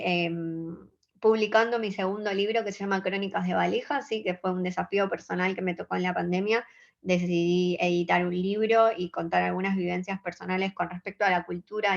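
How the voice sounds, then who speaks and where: A woman in her twenties with an Argentine accent, giving an earnest, measured conference talk and checking in with her audience. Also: lowish voice, creaky, muffled recording. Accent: Argentine Spanish. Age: 20s. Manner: earnest, measured, engages the audience with short questions. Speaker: conference speaker.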